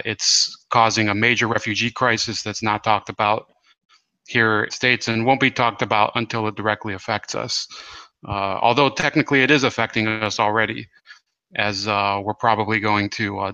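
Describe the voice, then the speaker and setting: Dry, bright-voiced male podcast host. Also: lowish voice, level tone, no room sound, low-quality recording. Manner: halting, many fillers and restarts. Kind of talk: casual interview.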